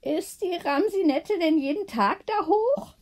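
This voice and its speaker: A woman's voice: extremely high pitch, expressive, measured pace, darkish tone, voice pushed up. A woman voicing a curious, knowing neighbour woman with an inquisitive manner.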